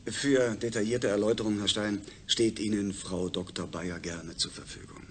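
An actor as a formal, lecturing project chief, faint noise. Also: male voice, lowish voice, fairly even intonation, normal speed, natural voice. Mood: technical, formal. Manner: formal, explanatory. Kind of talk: German science fiction radio drama acting.

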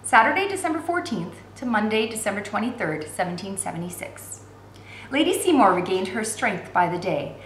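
A woman in her forties reading a novel aloud as an expressive narrator. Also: mid-range voice, moderate pace. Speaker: reader of a novel for learners. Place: indoor room at home. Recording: roomy echo with faint background noise.

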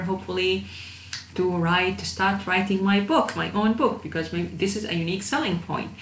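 A woman's voice: medium pitch, fairly bright tone. Woman lecturing informally in an echoey room. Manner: hesitant, repetitive, addresses students directly. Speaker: university instructor of digital marketing. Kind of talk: informal online lecture over slides. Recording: roomy echo, faint background noise.

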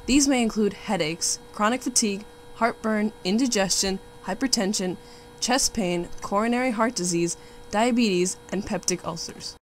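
A woman's voice: medium pitch, moderate pace, poor audio. A young woman, a student narrator, formally reading out facts from a documentary script. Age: young adult. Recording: faint background hum and noise.

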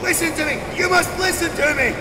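A man playing a frantic mad scientist in a horror audio drama, shouting hysterically and desperately. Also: extremely high pitch, brisk, a loud crowd, heavy background noise. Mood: desperate pleading. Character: frantic mad scientist creator, screaming and pleading. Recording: echoey hall, loud music and screams behind.

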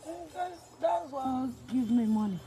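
A woman's voice: sits high, animated, unhurried, over loud music. A Nigerian woman in her twenties giving short, hesitant answers in an outdoor interview. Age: 20s. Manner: hesitant, soft-spoken, short replies. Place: outdoor compound at a housewarming, then indoors. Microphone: phone held close by interviewer. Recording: crowd noise behind.

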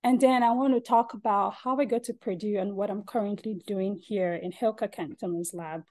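A woman's voice: medium pitch, steady tone, moderate pace, warm tone. Friendly young Nigerian-born woman presenting. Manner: friendly, conversational, with fillers like "kinda" and "um". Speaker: PhD student presenting research. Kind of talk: informal presentation on a video call. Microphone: video call mic.

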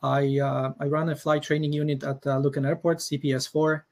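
A man's voice: fairly high pitch, flat delivery, a little fast, creaky. A middle-aged man with a Polish accent introducing himself informally as an instructor. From Poland. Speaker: paragliding instructor, panelist. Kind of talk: informal self-introduction on a panel. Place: small room at home, indoors. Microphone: headset on video call.

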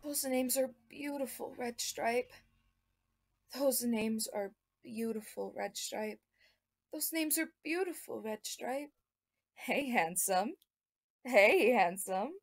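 A woman voicing an affectionate, flirty grown female cat in a fan comic dub, with a creaky voice. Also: fairly high pitch, takes it slowly, no echo, clean studio sound.

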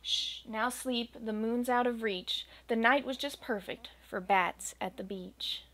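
A young woman with a slightly high voice reads a rhyming picture book aloud. Her delivery is gentle and expressive, following the verse's bouncing rhythm. She is recorded close to the camera in a small room at home. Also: measured pace, poor audio. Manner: gentle, expressive storytelling cadence. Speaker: children's book reader. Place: small home room with bookshelves and green screen.

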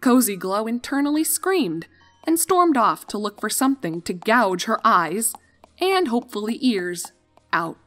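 A woman reading fan fiction aloud as an expressive storyteller. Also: on the high side, unhurried. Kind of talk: expressive scripted story narration. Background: music.

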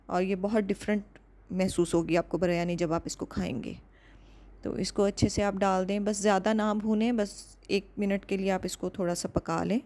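Calm woman narrating a home recipe. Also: medium pitch. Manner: calm, instructive, measured. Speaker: cooking channel host. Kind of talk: step-by-step recipe voice-over.

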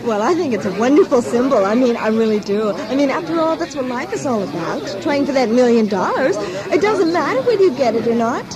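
Gushing, high-voiced woman in a crowd. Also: expressive, brisk, poor audio. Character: gushing, earnest woman race enthusiast. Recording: crowd noise behind the voice.

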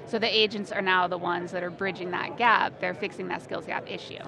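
A woman in her thirties with a gravelly edge to her voice puts questions to a colleague. She sounds engaged and moves quickly from one point to the next while seated at a busy convention booth. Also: fairly low pitch, steady tone, bright, forceful tone. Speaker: industry analyst interviewer. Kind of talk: interview questions.

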